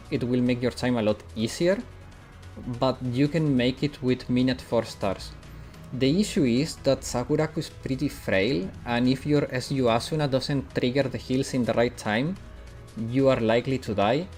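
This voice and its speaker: Gaming streamer, male, from Italy, mixed British/American English accent, medium pitch, chatty, faint game music underneath. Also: darkish tone. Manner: chatty, answers chat while playing. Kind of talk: casual live-stream chat.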